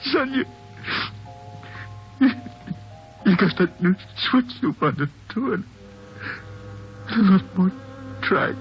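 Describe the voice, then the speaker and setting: A man's voice: high-pitched, lively intonation, soft background music, full character voice. An actor playing a middle-aged father breaking down over his dead son, stammering and sobbing in 1940s radio acting style. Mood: grieving. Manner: stammering, pleading, halting. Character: grief-stricken father whose young son is dead. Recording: dull old radio broadcast.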